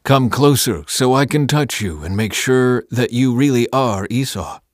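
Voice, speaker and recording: A man voicing an aged patriarch father in a Bible audiobook, solemn, slow and emphatic as he declaims. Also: medium pitch, expressive, dry recording, clean studio sound, natural voice. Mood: tender, testing.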